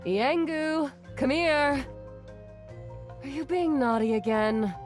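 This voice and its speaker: A woman voicing a kind, gentle woman who talks softly to her pet dinosaur. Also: high-pitched. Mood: tender. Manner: soft, slow and motherly. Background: game music.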